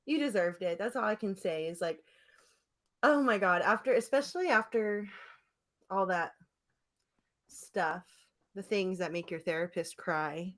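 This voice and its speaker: Animated, emphatic woman podcast co-host. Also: mid-range voice, a little slow, long pauses. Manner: animated, emphatic, giggly, slangy. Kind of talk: casual banter between friends.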